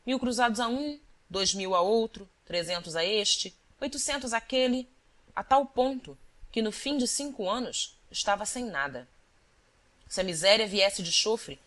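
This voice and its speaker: A woman reading scripted literary narration as an audiobook narrator, with a measured, deliberate storytelling delivery. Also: a little high, expressive, a bit bright.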